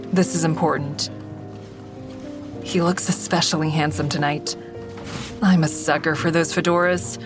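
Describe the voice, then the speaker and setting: A woman's voice: low-pitched, close to an everyday voice. An actress playing a wry, grieving mother who does stand-up, telling her story candidly in an audio drama. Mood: reflective. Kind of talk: first-person audio drama narration. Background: music.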